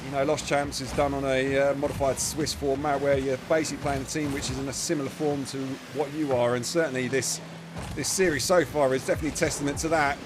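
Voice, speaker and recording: A man giving chatty live play-by-play commentary on a match, his voice starting to go hoarse. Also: medium pitch, fast talker, noisy background. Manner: chatty, self-deprecating asides, bursts of excitement on big plays. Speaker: esports commentator.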